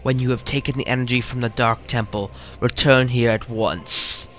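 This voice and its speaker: A man voicing a solemn dead alien temple sentinel with a put-on British accent, reading in a grave, measured, formal way. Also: mid-range voice, steady tone, bright, forceful tone, faint music behind, close to an everyday voice.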